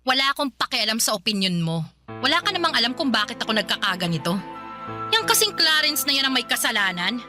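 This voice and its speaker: An actress with a Filipino accent playing a stern, sarcastic, domineering older sister in a radio drama. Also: female voice, a little high, very animated, fast talker, music in the background. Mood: angry scolding. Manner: scolding, sarcastic.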